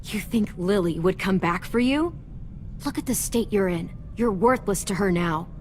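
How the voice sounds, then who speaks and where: A woman's voice: mid-range voice, animated, moderate pace, a little mellow, a little hiss or hum, natural voice. An actress playing a tough, determined teenage girl in a video game, speaking in a firm, blunt, controlled way. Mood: stern.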